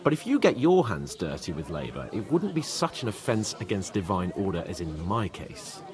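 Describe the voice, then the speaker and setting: A man's voice: deep voice, sing-song, moderate pace, crowd noise. A man playing a haughty, spoilt young nobleman in a video game, pompous, sardonic and theatrical. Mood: pompous lecturing.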